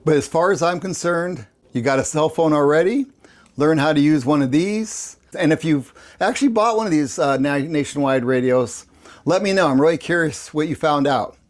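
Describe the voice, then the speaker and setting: A man's voice: a little high, normal speed. A man hosting a video, giving a casual explainer in a plain-spoken, folksy way and repeating points for emphasis. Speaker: host of a prepping channel, ham radio operator. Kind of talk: casual explainer talk to viewers.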